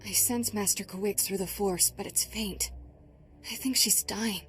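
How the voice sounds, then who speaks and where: A woman's voice: medium pitch, measured pace, close to an everyday voice. A woman playing a young, headstrong apprentice knight in a video game, earnest and emotional. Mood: distressed, worried. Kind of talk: video game cutscene acting.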